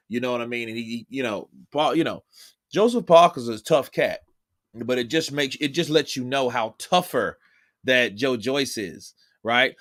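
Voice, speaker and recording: A man's voice: mid-range voice, fairly bright tone. Loose, profane man streaming. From Texas, United States. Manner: loose and profane, chatting with viewers. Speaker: boxing commentator streaming live. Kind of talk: casual live-stream commentary.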